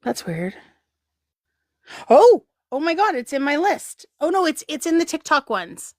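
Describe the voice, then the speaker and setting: Woman host of a casual stream, giggly and rambling, a touch high-pitched, recorded dry. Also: big pitch swings, measured pace, no echo. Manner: giggly, rambling, reads chat aloud. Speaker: livestream host. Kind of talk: casual livestream chat.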